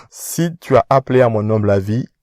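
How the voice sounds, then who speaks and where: A man's voice: mid-range voice, lively intonation, moderate pace, a little mellow, no room sound, studio quality, natural voice. An actor playing a proud, witty young man who answers back with mocking self-assurance in an audio drama. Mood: defiant. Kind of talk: independent audio drama acting.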